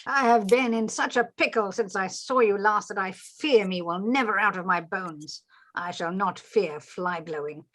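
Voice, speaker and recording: A woman's voice: mid-range voice, moderate pace, fairly bright tone, lightly acted. A woman playing a tipsy, swaggering male butler in a reading of a Shakespeare comedy. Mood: groaning, wry. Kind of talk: unrehearsed Shakespeare play reading.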